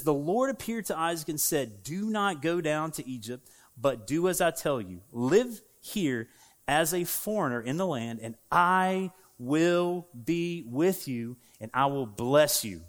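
A man with a Southern American accent preaches in a church, his voice sitting a little higher than most men's. He talks to the congregation in a relaxed, conversational way, full of fillers and asides. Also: a little slow. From United States. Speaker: pastor. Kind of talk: sermon. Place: church sanctuary.

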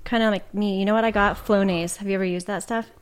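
A woman with a nasal voice, a mother and podcast guest, making dry, self-deprecating jokes while mildly high. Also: steady tone, quick pace, clean tone. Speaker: guest, mother and business owner. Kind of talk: casual chat.

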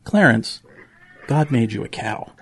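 A man's voice: medium pitch, lively intonation, a little slow, a bit bright. A man playing an earnest, preachy pig with an admonishing manner, in a children's audio drama. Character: earnest, preachy male pig with a fussy nervous streak.

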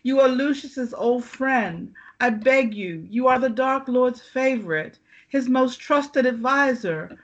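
A desperate aristocratic mother read by a woman pleads through tears, a bit higher and louder than the narration. The recording is lo-fi. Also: lively intonation, takes it slowly. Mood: pleading. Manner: tearful, begging.

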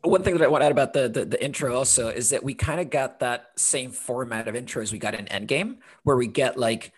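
A man from Honduras co-hosts a casual podcast. His tone is friendly, and he tells the story at a brisk pace, sprinkled with uhs. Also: mid-range voice, breathy edge. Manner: friendly, quick storytelling with fillers. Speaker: podcast co-host.

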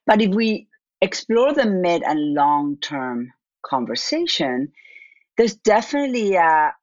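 A woman with an Argentine accent talks through her work as a guest, often repeating and restarting words as she thinks. Her voice sits low. The sound is dry and a little muffled, like a remote call. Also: no room sound. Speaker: business owner, guest. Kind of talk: interview answers.

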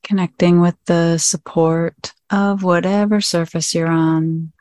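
A woman leading a guided meditation, gently inviting the listener in short phrases with long pauses between them. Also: on the low side, fairly even intonation, no echo. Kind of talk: guided meditation, slow spoken instruction.

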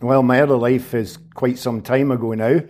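A man, a humanitarian aid worker giving measured, thoughtful answers as an interview guest. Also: mid-range voice, steady tone, a little mellow. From Scotland. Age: 50s. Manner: measured, thoughtful, qualifies his words. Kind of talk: reflective interview conversation.

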